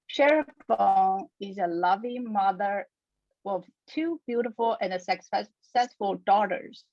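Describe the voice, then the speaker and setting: A woman's voice: medium pitch, lively intonation, measured pace, no echo. A woman moderating a panel on a webinar, speaking carefully with small restarts. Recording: dull, dry video-call audio.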